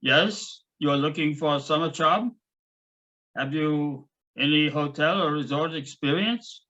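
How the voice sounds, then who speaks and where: Guy putting on a cold clipped baroness, menacing, slow, dull lo-fi sound. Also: fairly high pitch, sharp tone. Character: stern clipped German woman hotel manager. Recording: dull lo-fi recording.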